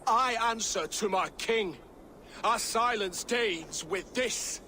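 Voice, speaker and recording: An actor playing a proud, stubborn middle-aged warrior thane in a video game, speaking gruffly. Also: male voice, sits high, slow, sharp tone, slight background noise, full character voice. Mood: defiant. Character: defiant older male warrior thane. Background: game score.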